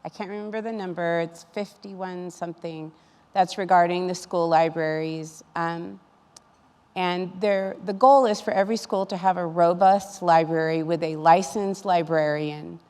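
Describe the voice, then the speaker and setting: A woman's voice: fairly low pitch, fairly even intonation, normal speed, a little mellow. A woman speaking as an educator in a panel discussion, explaining things in an earnest, advocating way. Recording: reverberant hall sound.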